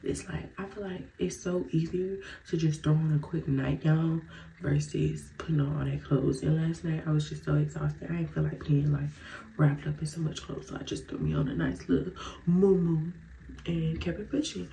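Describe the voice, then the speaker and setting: Girl w a stuffy nose, low gravelly voice, rambling gwrm chat at home, lil bit of background hiss. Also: expressive, moderate pace, warm tone, low-quality recording. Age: young adult. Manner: chatty, rambling, addresses viewers as y'all. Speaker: vlogger. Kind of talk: casual get-ready-with-me chat. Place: bedroom at home, indoors.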